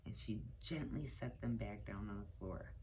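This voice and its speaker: A middle-aged woman reading a children's picture book aloud, pausing for asides to her young listeners. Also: extremely low pitch, darkish tone, a little hiss or hum. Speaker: children's story time reader, teaching assistant. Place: small cluttered room indoors.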